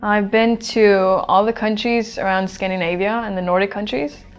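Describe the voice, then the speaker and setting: A woman's voice: mid-range voice, normal speed, smooth, soft background music. Chatty young woman from Sweden. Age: 20s. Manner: relaxed, chatty, thinks aloud with fillers. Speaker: English teacher being interviewed. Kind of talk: casual interview answers.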